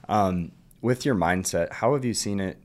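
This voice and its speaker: Deep-voiced podcast host. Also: male voice, normal speed. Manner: friendly, agreeable, lots of short affirmations. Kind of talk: interview questions, casual.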